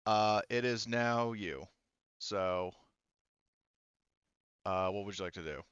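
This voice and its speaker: A man's voice: on the low side, takes it slowly, fairly bright tone, clean tone, dry recording, muffled recording. A man acting as game master for a tabletop game, talking casually with swearing and explaining the rules.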